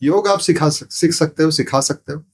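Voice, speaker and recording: A man's voice: on the high side, moderate pace, husky. A man explaining spiritual ideas as a podcast guest, in a didactic way, repeating phrases and asking rhetorical questions. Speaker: podcast guest, sound therapy teacher. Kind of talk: explanatory spiritual talk in an interview.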